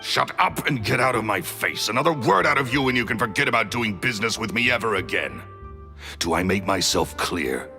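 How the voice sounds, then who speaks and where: An actor playing a gruff, curt, hard-nosed businessman in his late thirties for a video game. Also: lowish voice, expressive, brisk, sharp tone, in a large hall. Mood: irritated. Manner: curt, dismissive, threatening. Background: music.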